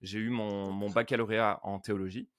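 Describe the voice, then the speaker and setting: A middle-aged man from the north of France speaks as a podcast guest. His voice is on the low side and closely recorded with little room sound. He chats casually, often repeating words as he finds his phrasing. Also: little pitch movement, fairly quick, no room sound, studio quality. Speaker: podcast guest, worship pastor. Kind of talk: casual interview chat.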